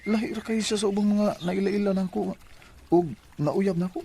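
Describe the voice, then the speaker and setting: A smitten young suitor in a Cebuano-accented radio drama. He talks earnestly and eagerly in a fairly high male voice. Faint ambient noise sits behind him. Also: steady tone, brisk, vocal fry, mellow. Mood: shy, smitten. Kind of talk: Bisaya radio drama acting.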